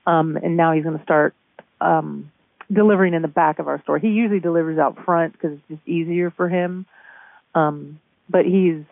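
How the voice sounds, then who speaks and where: A woman speaks over a tinny, band-limited phone connection with a noticeably creaky edge. She recounts things casually as an interviewee, often restarting and repeating words as she goes. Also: deep voice, no echo. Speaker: store manager being interviewed. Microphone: telephone call.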